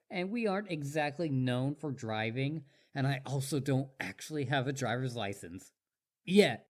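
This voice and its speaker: An eager young wolf boy, acted by a man, speaks straight to the listener in a roleplay drama. His pitch swings up and down with great animation. He is smitten and full of himself, rambling on and stammering whenever he gets flustered. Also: darkish tone.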